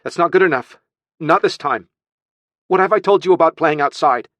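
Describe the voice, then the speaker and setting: Man voicing a stern, upset woman, pitched up. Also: moderate pace, dry recording, natural voice. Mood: stern scolding. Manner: emotional, sharp, firm. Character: distraught, stern young aunt scolding a little boy.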